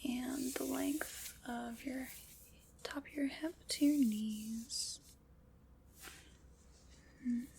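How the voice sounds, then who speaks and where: A woman whispering breathily in an ASMR roleplay, soft, unhurried, formal and reassuring. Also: sits high, steady tone, creaky, slight background noise, low-quality recording.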